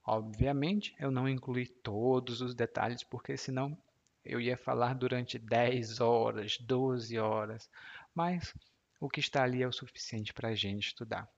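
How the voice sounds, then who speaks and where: A man, in his thirties, explains clearly and slowly like a patient teacher and laughs easily. His voice sits at a medium pitch. The recording sounds somewhat dull and muffled. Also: fairly even intonation. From Ceará, Brazil. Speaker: Portuguese teacher. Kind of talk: podcast language lesson.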